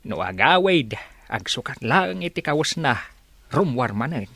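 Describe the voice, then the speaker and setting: A man's voice: medium pitch, big pitch swings, unhurried, creaky, fairly bright tone, dry recording, heavily acted. A man playing an old, fretful, prayerful village father in an Ilocano radio drama, plaintive about his wayward son. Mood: exasperated, pleading.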